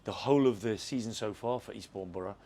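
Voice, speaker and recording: Low-voiced football manager, frank. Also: male voice, fast talker, warm tone. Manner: frank, reflective, repeats words while thinking. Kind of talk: post-match interview. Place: football stadium.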